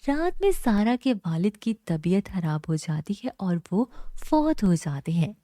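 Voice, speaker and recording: A woman narrates a scripted children's story. She reads at a slow, deliberate pace. Her voice is mellow and fairly high, captured in a dry recording. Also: very animated, no echo.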